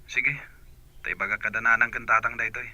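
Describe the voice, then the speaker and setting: A man's voice: mid-range voice, little pitch movement, brisk, pushed and bright, no room sound, lo-fi sound. A man playing a blunt younger brother in a radio drama, heard through a telephone filter. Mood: questioning. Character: blunt younger brother phoning his sister from home. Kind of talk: Ilocano radio drama acting. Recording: thin, band-limited telephone sound.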